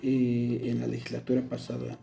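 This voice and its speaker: Mexican male politician, gravelly and very dark, echoey recording. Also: mid-range voice, completely flat delivery, normal speed, faint music behind. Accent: Mexican Spanish. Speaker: local legislator as guest. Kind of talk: political conversation. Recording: roomy echo.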